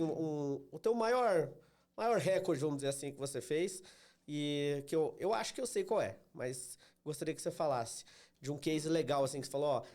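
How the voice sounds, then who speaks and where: Host, male, Santa Catarina accent, a bit high and mellow, has a cold, chatty storyteller. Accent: Santa Catarina Brazilian Portuguese. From Santa Catarina, Brazil. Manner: chatty, storytelling, slows down to be understood. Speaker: podcast host, sales trainer. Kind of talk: casual interview chat.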